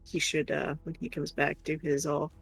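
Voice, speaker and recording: A woman's voice: sits low, flat delivery, normal speed, vocal fry, husky, soft background music. A woman chatting casually and candidly as a livestream co-host, rambling informally.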